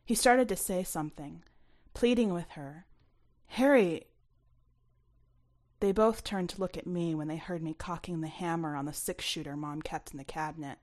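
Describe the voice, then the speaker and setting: A woman narrates first-person fiction from a script, as an author reading her own work. Her voice sits a little low. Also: fairly quick, a little mellow.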